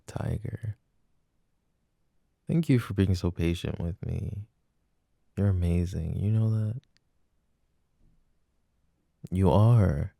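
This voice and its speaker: A man's voice: deep voice, gravelly, warm tone, no echo, studio quality, heavily acted. A young man playing an apologetic, adoring jealous boyfriend in an intimate roleplay, speaking in a casual, hesitant murmur. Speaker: voice actor.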